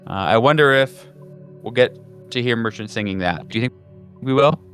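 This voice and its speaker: A man's voice: mid-range voice, lively intonation, moderate pace, a bit bright. A man narrating a horror tabletop game as game master, in a hesitant, conversational and descriptive manner. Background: background music.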